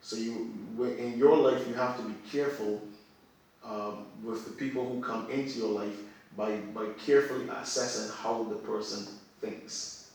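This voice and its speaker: A man's voice: mid-range voice, fairly even intonation, moderate pace, darkish tone. Echoey man teaching emphatically. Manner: emphatic, repeats phrases for effect. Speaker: religious teacher, guest apostle. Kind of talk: teaching conversation. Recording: very echoey room, far from mic.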